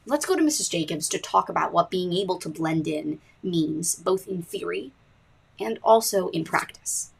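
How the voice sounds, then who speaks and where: A woman hosting a podcast, narrating in a wry, deadpan scripted way. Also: on the low side, normal speed, creaky, husky. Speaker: podcast host. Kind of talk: scripted narration with dry asides.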